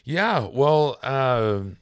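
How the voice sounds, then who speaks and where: A man co-hosting a podcast in casual chat, his voice raspy and hoarse from laryngitis, talking haltingly with many ums and trailing off quietly. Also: medium pitch, very animated, dry recording, studio quality. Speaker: podcast co-host. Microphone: podcast microphone.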